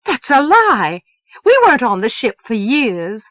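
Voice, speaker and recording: A high, loud young woman pours out every line in a rush of feeling, as a woman narrator voices her. The recording is dry and a little dull, with no room sound at all. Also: huge pitch swings, unhurried, dry recording. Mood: anguished. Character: young woman, impulsive and desperate.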